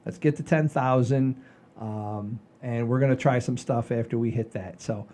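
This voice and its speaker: A man's voice: medium pitch, normal speed, mellow. A man in his sixties hosting a casual comedy chat show in a folksy, rambling, enthusiastic way. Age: 60s. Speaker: podcast host.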